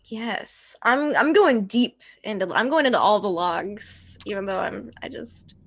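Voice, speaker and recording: A woman's voice: mid-range voice, lively intonation, a little slow, fairly bright tone. A woman chatting casually as a player in a tabletop roleplaying game.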